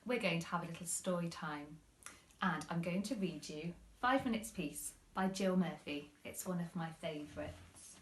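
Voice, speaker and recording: A woman reading a children's story expressively, with deliberate phrasing, in a roomy-sounding recording. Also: on the low side. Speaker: primary school teacher reading stories.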